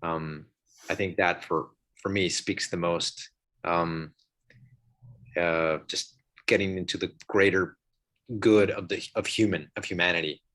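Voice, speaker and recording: Deep-voiced man, reflective and halting. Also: steady tone, fairly bright tone. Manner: halting, rephrasing as he goes. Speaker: cast member, panel guest. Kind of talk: casual reflective discussion.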